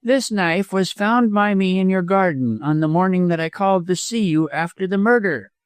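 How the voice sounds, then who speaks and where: Guy doing a sneering weaselly lawyer for an audiobook, snarly and harsh, voice pushed way up high, dry sound. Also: normal speed, clean tone, no echo, lightly acted. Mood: smug, defiant. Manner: snarling, gasping, harsh, chuckling. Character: venomous, cowardly, sneering male lawyer villain.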